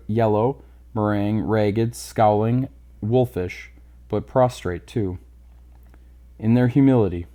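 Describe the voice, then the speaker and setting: A man's voice: on the low side, warm tone. A man reading a classic story aloud as a dramatic narrator.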